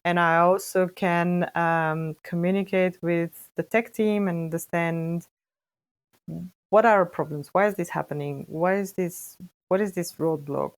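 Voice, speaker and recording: Woman, thirties, from Bulgaria; startup founder as podcast guest, rambling and animated, dry close sound. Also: on the low side, unhurried, no room sound. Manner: talks in long, animated run-on sentences with frequent restarts. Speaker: guest, freelance data scientist and startup co-founder. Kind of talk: casual interview answers.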